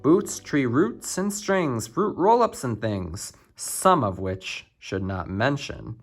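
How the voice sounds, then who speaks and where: Animated man reading a rhyming picture book. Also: mid-range voice, slow, soft background music. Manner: expressive, theatrical. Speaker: children's storybook reader.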